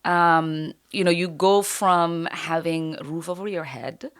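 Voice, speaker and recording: A woman in her forties from Somalia, a congresswoman talking through her life in a thoughtful, unhurried interview, with many fillers and the odd soft laugh. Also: lowish voice, no room sound, clean studio sound. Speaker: guest congresswoman. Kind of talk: conversational interview.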